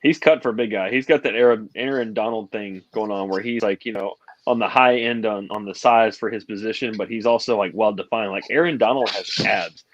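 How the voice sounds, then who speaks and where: A man's voice: on the low side, a little fast, muffled recording, slight background noise. A man in his late thirties, co-hosting a casual podcast chat and rambling through explanations. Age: 30s. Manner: rambling, explains with hand-wavy comparisons. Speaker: podcast co-host.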